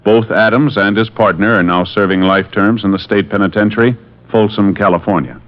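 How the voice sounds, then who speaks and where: A man's voice: lowish voice, fairly even intonation, brisk. An American man giving formal, scripted announcements in 1950s radio style. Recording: dull vintage radio recording, faint music.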